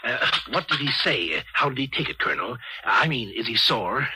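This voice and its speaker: An actor playing a fat, timid, ingratiating scientist who talks hesitantly in old-time radio drama style. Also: male voice, medium pitch, lively intonation, brisk, pushed and bright, slight background noise. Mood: nervous, ingratiating. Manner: hesitant, nervous chuckling. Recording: vintage radio broadcast recording.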